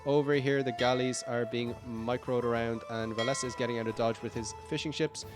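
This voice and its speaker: A man with a croaky, tired voice giving live play-by-play commentary on a strategy game, upbeat and rambling with frequent fillers and self-corrections. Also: mid-range voice, fairly even intonation, a little fast, over music. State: croaky, tired voice late in a long stream. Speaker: esports caster.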